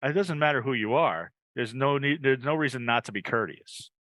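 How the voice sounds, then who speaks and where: A man's voice: mid-range voice, normal speed, fairly bright tone, dry recording. An American man hosting a podcast, chatting casually in an informal, joking way. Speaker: podcast host. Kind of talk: casual chat.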